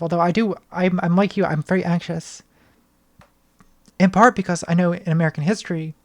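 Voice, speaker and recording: A young woman answering patron questions on a casual livestream from her bedroom, thoughtful and hesitant, with a slightly hoarse voice, speaking into a studio mic. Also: low voice. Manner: thoughtful, hesitant, many restarts and ums. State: voice getting hoarse. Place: small bedroom lit purple. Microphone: studio mic on arm, front of frame.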